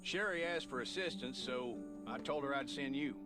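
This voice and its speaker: Loud music, man playing male game camp leader, grave, cautious, commanding, earnest informal briefing, mid-range voice. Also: medium pitch, normal speed, close to an everyday voice. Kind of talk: video game quest dialogue.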